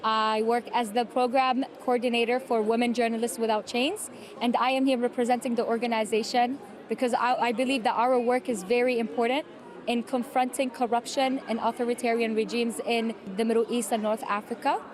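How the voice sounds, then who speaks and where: Woman from Yemen, short earnest intro, high-ish and monotone. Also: fairly quick. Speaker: program coordinator.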